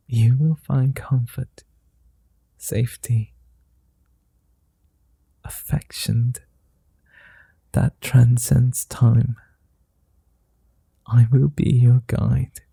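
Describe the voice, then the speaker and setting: A man playing a gravelly, hushed vampire suitor. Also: mid-range voice, dry recording, heavily acted. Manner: soft-spoken, slow, formal, drifting into whispers. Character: gentle, flirtatious male vampire, courtly and patient. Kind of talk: ASMR roleplay.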